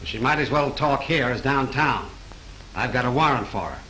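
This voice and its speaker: A man's voice: medium pitch, normal speed, bright, forceful tone, close to an everyday voice, low-quality recording. An actor playing a tough male police detective who questions a suspect bluntly, in 1930s film style, with faint hiss from an old soundtrack. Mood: gruff. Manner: blunt, bullying interrogator.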